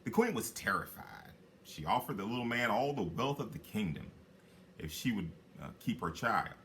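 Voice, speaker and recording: Expressive man reading a fairy tale aloud. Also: lowish voice, normal speed, a little hiss or hum. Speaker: storyteller reading a children's book.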